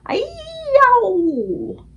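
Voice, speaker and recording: A woman's voice: medium pitch, big pitch swings, takes it slowly. A woman voicing a huge, terrified male giant who shrieks and pleads in mangled grammar. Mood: terrified shrieking.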